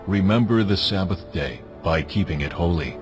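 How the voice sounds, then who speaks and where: A man's voice: sits low, expressive. A man playing a stern, majestic deity who gives solemn, authoritative commands in a dramatized scripture production. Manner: solemn, authoritative, deliberate phrasing. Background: music.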